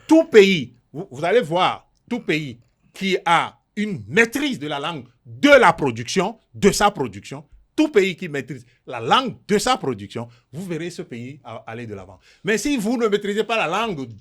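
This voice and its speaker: Insistent high-voiced man debating. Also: big pitch swings, normal speed, fairly bright tone. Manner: insistent, talks over others, repeats phrases. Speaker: political analyst guest. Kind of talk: heated TV debate.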